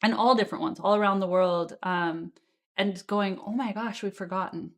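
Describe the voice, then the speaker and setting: A woman's voice: medium pitch, normal speed. A woman hosting a podcast interview, asking curious questions and reacting in an effusive, enthusiastic way. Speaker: podcast host. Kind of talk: interview conversation.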